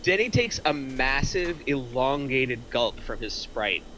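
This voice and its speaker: A man reads screenplay stage directions as narrator. His voice is medium in pitch and notably bright, with faint noise in the recording. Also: animated, slow pace. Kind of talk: scripted narration at a table reading.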